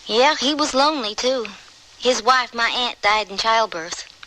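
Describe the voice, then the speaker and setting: Plucky young farm girl, blunt n folksy, bright voice, old radio western, bit of noise. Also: on the high side, lively intonation, measured pace. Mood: matter-of-fact. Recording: slightly noisy radio drama recording.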